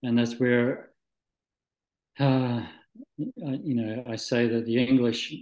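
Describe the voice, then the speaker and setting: Male interview guest, from Scotland and New Zealand, medium pitch, thoughtful and halting, recorded in an echoey room. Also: little pitch movement, a little mellow. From Scotland, moved to New Zealand at nine. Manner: halting, thoughtful, searching for words. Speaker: interview guest, spiritual practitioner. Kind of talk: reflective, conversational interview answers.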